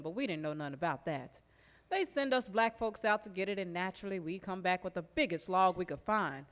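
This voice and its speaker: A woman playing a lively, sly plantation servant who reminisces in an animated, folksy stage monologue, as if sharing secrets. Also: mid-range voice, lightly acted. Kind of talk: theatrical folk storytelling monologue on stage. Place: live stage performance in a recreation hall.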